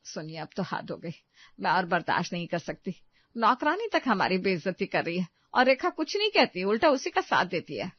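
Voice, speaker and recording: A woman's voice: on the low side, sing-song, brisk, breathy edge, no room sound, dull audio. A woman voicing a tender, hurt old grandmother in a storybook tale, plaintive and motherly. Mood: hurt, weary. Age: older.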